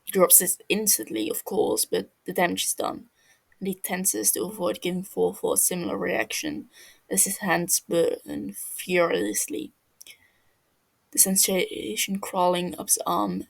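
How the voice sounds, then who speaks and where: A woman narrates a story at a slow pace. Her voice is husky with heavy creak, and she moves haltingly from phrase to phrase. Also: fairly low pitch, fairly even intonation. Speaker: fan fiction reader.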